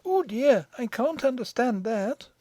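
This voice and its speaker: A woman's voice: a little high, expressive, a little slow, mellow, no echo, pitched above the performer's own voice. A woman voicing an innocent, curious little princess in a storybook audiobook, with eager, frank, childlike questions. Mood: puzzled, curious.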